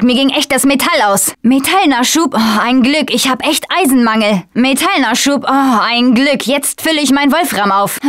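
A woman's voice: sits high, a bit bright, no echo, clean studio sound. An actress playing a tough, sarcastic young woman surviving a zombie apocalypse in a video game, blunt and urgent in manner. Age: young adult. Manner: blunt, foul-mouthed, urgent. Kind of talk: video game voice acting, combat barks and quips.

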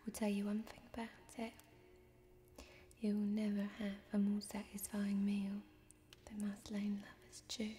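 A young woman playing a friendly, homely fantasy tavern barmaid in soft-spoken, whispery ASMR role-play, close to the microphone. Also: medium pitch, completely flat delivery, measured pace, close to an everyday voice. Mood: soft, attentive. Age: young adult.

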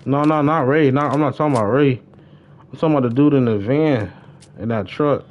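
A man's voice: mid-range voice, a little fast, dull audio. A man livestreaming a game, chatting casually in slang and often repeating his phrases. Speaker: livestreamer and gamer. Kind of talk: casual gaming livestream banter.

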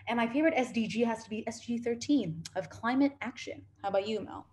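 A woman's voice: fairly high pitch, fast, darkish tone. A twenty-three-year-old woman on a video call, giving an organized summary of points. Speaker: community builder.

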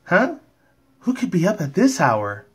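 A man acts out a female cartoon pony for a fan comic dub. The voice sits high and sounds startled, full of questions. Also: wildly swooping pitch, unhurried, slight background noise, full character voice. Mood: puzzled.

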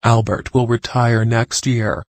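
A man reading short phrases for learners in a clear, measured way, one sentence at a time. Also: mid-range voice, level tone, no echo. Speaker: phrase reader.

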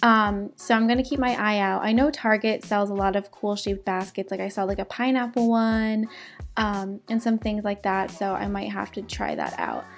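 A woman's voice: medium pitch, lively intonation, normal speed, vocal fry, soft background music. A woman doing a casual, chatty crafting voice-over for her vlog. She is sick, and her voice cracks now and then. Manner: chatty, upbeat, fillers. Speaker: DIY vlogger. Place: home, indoors.

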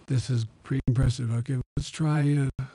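A man's voice: medium pitch, steady tone, normal speed, warm tone, slight background noise, low-quality recording. A man speaking through a real-time AI voice changer as an elderly male politician, in casual demonstration style. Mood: casual, pleased.

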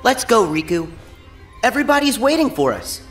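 A man's voice: very high-pitched, big pitch swings, normal speed, fairly bright tone, exaggerated voice. A man voicing an earnest, plucky, loyal teenage boy hero in a video game cutscene. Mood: friendly. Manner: earnest, boyish. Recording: game music underneath.

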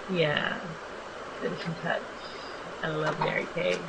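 Slightly raspy young woman narrating makeup. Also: sits low, slow pace, heavy background noise. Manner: relaxed, chatty, with many ums. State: just woken up. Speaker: beauty vlogger. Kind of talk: voice-over narration of a makeup tutorial. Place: small home bathroom.

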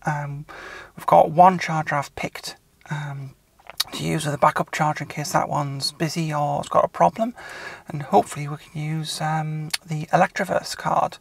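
A woman's voice: low-pitched, vocal fry, no echo. A middle-aged British woman chatting casually and a little hesitantly to camera as a vlogger inside a car. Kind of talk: casual vlog talk to camera. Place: inside a car, parked or driving. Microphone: camera's built-in mic or camera at arm's length. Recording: distant built-in camera mic in car.

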